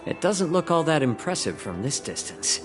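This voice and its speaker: A man voicing a courteous, composed and quietly shrewd gentleman in a video game, with polite, measured, refined delivery. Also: a little high, sing-song. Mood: mild, reserved. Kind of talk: video game voice acting, story dialogue. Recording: studio voice over loud game music.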